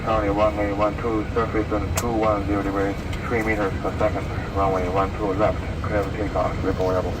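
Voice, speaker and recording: Low-voiced man on noisy aviation radio. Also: little pitch movement, brisk, few pauses. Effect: aircraft radio transmission. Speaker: air traffic controllers and pilots on the radio. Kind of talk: clipped aviation radio phraseology. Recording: very noisy distant radio in aircraft cockpit. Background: loud aircraft engine drone.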